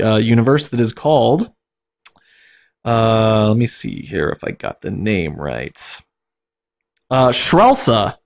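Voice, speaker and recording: A streamer giving an informal, amused dramatic reading of comic fan fiction, stumbling over the text now and then. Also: mid-range voice. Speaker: streamer and story reader.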